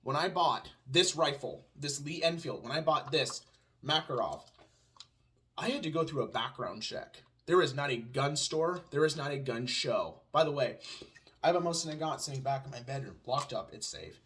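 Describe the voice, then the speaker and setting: A man's voice: fairly high pitch, expressive, moderate pace, a bit bright. A man with a pronounced Southern US twang, ranting sarcastically at home in a casual chat with his wife. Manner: sarcastic, ranting, answers his own mock questions. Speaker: podcast co-host.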